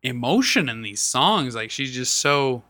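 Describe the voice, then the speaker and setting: A man co-hosting a casual podcast chat, with a high voice, animated and quick to laugh and exclaim. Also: no echo. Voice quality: high voice he sings falsetto with. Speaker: podcast co-host.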